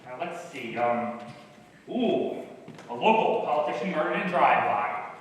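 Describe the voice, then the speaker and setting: A man's voice: mid-range voice, big pitch swings, a little slow. A man playing a put-upon comedy-writing partner in a stage play, hesitant, dry and exasperated. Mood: obliging. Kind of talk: live one-act stage comedy acting. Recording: echoey hall, distant mic, faint noise.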